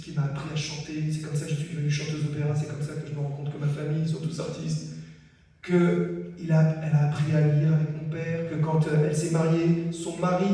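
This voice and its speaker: A man giving a personal testimony at a conference, telling his story in a lively way and acting out the dialogue. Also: on the high side. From French-Mexican family. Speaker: conference speaker. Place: large hall at a conference. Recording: echoey hall from far off.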